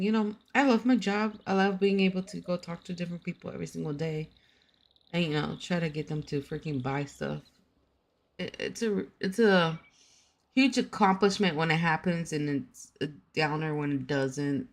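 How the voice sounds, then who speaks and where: A young woman streamer who just woke up, groggy and tired, chatting casually with her viewers and laughing often. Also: low voice. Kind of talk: casual chat.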